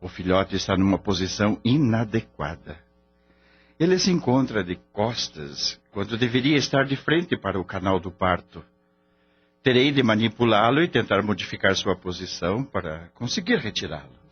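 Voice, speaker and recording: Man acting as grave veterinarian, very husky, lively intonation, Brazilian accent, formal and measured, radio drama. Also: lowish voice. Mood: worried, somber. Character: grave male veterinarian explaining a risky foaling. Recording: dull lo-fi radio drama with music.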